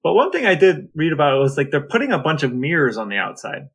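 Guy co-hosting a podcast, kinda raspy, highish voice, just chatting casually. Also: wildly swooping pitch, fast talker, a bit bright. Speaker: podcast co-host. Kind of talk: casual chat.

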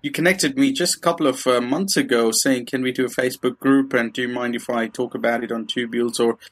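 A man from Kenya, a dentist and podcast guest, telling his story haltingly with many pauses and restarts. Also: mid-range voice, fairly even intonation. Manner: hesitant, many fillers and restarts, laughs easily. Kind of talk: casual interview storytelling.

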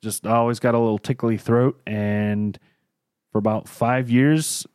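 A man's voice: medium pitch, steady tone, a little slow, a little mellow, clear, resonant tone, no room sound, studio quality. A man co-hosting a casual podcast, rambling and losing his train of thought, with a lingering cough and tickly throat. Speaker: podcast co-host. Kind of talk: casual chat.

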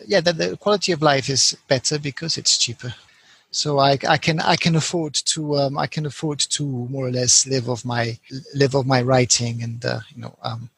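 Gravelly, hesitant man, interview guest. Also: mid-range voice, a bit bright, no room sound. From Colombia and England. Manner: hesitant, many repeated words. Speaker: guest author. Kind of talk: casual interview answers.

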